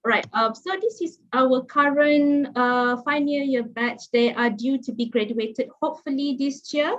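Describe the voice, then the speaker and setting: A woman's voice: high voice, steady tone, unhurried. A woman in her thirties with a Malaysian accent, giving a chatty online guest lecture with many fillers and restarts. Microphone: video call. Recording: muffled video call audio.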